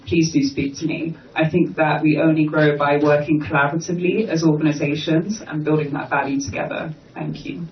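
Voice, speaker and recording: A man on a panel giving brief remarks, with repeated inviting appeals to the audience. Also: fairly high pitch. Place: conference hall. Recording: echoey, muffled, distant mic with faint noise.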